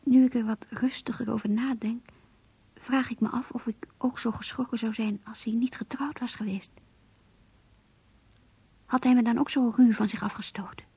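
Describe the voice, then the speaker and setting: An actress in a radio play voicing an earnest, introspective young woman who confides in her diary in an intimate, breathy voice. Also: fairly high pitch, fairly even intonation, moderate pace, pauses often, mellow, dry recording. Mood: wistful, troubled. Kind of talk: radio drama diary monologue.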